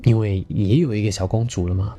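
A man's voice: lowish voice, steady tone, a little fast, dark tone, soft background music. A man with a Taiwanese Mandarin accent hosting a podcast, speaking colloquially and earnestly to listeners as he shares personal stories and relationship advice. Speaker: podcast host.

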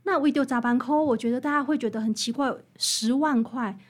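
High-voiced Taiwanese woman explaining. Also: brisk. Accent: Taiwanese Mandarin. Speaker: guest author interviewed about her book. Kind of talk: conversational explanatory interview.

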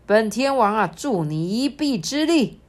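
A woman voicing a stern, commanding heavenly king who speaks slowly and emphatically. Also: fairly high pitch, very animated, pitched above the performer's own voice. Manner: slow and emphatic.

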